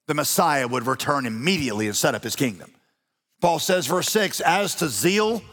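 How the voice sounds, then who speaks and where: A man preaching with an animated, folksy delivery and touches of humor. His voice sits a little high for a man. It rings out through the long reverb of a large church auditorium. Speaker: pastor. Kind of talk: sermon.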